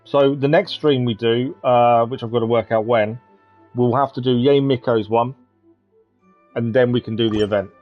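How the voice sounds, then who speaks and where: A man's voice: mid-range voice, steady tone, normal speed. A man giving casual live commentary as he streams a video game. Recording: dull lo-fi stream mic, game music. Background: game music.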